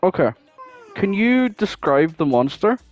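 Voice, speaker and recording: A man's voice: high-pitched, big pitch swings, unhurried, darkish tone, soft background music. A man, probably in his twenties, chatting casually and informally with plenty of swearing as a podcast co-host. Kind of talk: casual chat.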